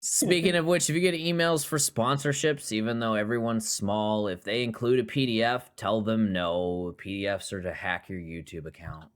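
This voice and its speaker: A man's voice: lowish voice, fairly even intonation, normal speed, few pauses, smooth. A young man hosting a podcast, chatting casually, joking and swearing freely as he rambles. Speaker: podcast host. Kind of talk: casual chat.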